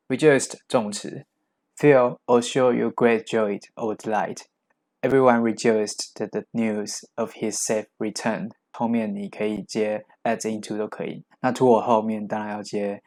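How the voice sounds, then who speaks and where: A man teaching English vocabulary, explaining each word in Chinese and reading the English example sentences aloud. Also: fairly low pitch.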